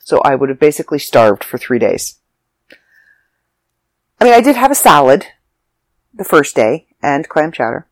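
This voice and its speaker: A stuffy, slightly raspy storyteller rambling through a casual travel story, circling back and correcting themselves as they go. The voice sits in a middling register. It is recorded close and dry. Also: mid-range voice, sing-song, normal speed, long pauses, no echo. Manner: chatty, rambling, self-interrupting. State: congested, raspy from allergies. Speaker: vlogger. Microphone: close mic, audio only.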